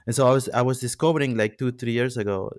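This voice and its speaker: A man's voice: mid-range voice, fast, a little mellow, no echo, studio quality. A man in his thirties from Peru, a native Spanish speaker, hosting a podcast in casual, reflective talk full of fillers and restarts. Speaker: podcast host. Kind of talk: casual conversation.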